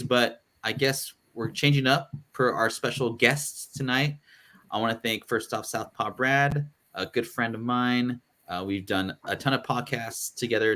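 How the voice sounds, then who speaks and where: A man's voice: medium pitch, bright, forceful tone, slight background noise. A man hosting a live podcast panel, talking loosely with lots of fillers and restarts. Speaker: podcast host. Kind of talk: casual live panel chat.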